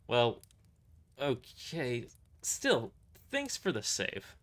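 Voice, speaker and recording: A man's voice: mid-range voice, huge pitch swings, very slow, fairly bright tone, voice pushed up, exaggerated voice. A man voicing an earnest female soldier in an audio drama, speaking in a hesitant, polite and sincere way. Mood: awkward gratitude.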